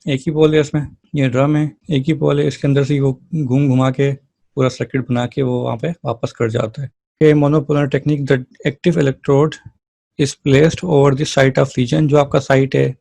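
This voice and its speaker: A man's voice: medium pitch, creaky, dark tone, no room sound. A man lecturing as a physiotherapy teacher, explaining things step by step in an informal way and often checking in with listeners.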